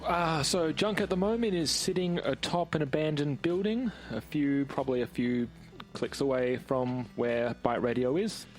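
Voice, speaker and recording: A man's voice: on the high side, normal speed, faint music behind. A man playing a tabletop roleplaying game, describing what his character looks like and does.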